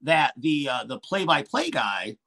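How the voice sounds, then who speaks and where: A man's voice: mid-range voice, very animated, unhurried, creaky, pushed and bright, slight background noise. A man, a wrestling manager and commentator, chatting casually in a podcast interview with frequent restarts and fillers.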